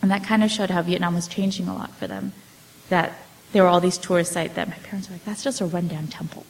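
Woman author, forties, slightly low voice, halting, many ums and restarts. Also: steady tone, low-quality recording. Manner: hesitant, many fillers and restarts. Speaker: author answering audience questions. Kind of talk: casual storytelling talk.

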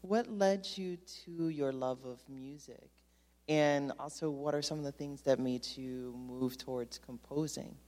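A woman moderating a live talk, upbeat and brisk, with a voice she calls loud. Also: a bass voice. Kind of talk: event moderation. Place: auditorium. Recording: long hall reverb.

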